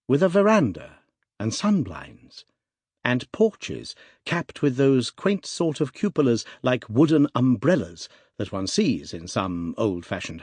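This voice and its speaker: Man narrating with lively, swooping intonation. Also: mid-range voice, slightly rough, dry recording. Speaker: audiobook narrator. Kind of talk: scripted narration of classic fiction.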